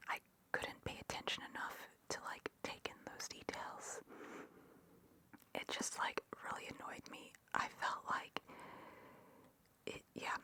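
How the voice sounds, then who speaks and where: A woman whispering a casual, opinionated and geeky ramble as an ASMR host. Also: sharp tone.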